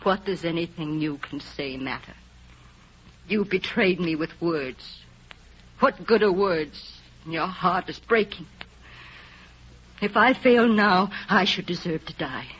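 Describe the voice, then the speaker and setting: A woman's voice: lowish voice, slow pace, long pauses, fairly bright tone, slightly rough, heavily acted. An actress playing a proud, vengeful, lovelorn female agent with intense, melodramatic delivery in a 1930s radio drama. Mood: bitter, wounded. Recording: noisy, muffled vintage radio recording.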